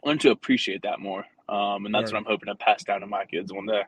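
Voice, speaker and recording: A man's voice: on the low side, fast talker, slightly rough, no room sound. A man whose first language is Spanish, a rugby coach talking as a guest. He speaks casually and easily, with many "like" fillers. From United States, Guatemalan family. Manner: relaxed and easygoing, many "like" fillers. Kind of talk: casual interview chat.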